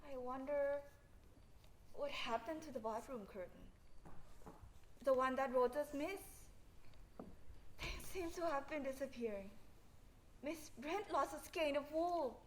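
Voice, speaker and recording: A woman's voice: high-pitched, a little slow, pauses often, roomy sound, a little hiss or hum. A young woman acting a nervous, frightened young lady in a student stage murder mystery. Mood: uneasy, pondering. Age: young adult.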